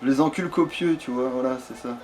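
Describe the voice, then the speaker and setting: A man's voice: mid-range voice, fast, darkish tone. A man giving casual, improvised video game commentary in a crude, swear-heavy way. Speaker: let's player. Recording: clearly noisy background.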